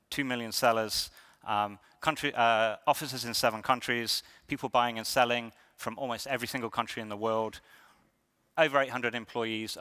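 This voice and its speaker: Bright-voiced man speaking in a hall. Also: medium pitch. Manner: conversational, many ums and restarts. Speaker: conference speaker. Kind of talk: conference talk. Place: lecture hall. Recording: reverberant hall.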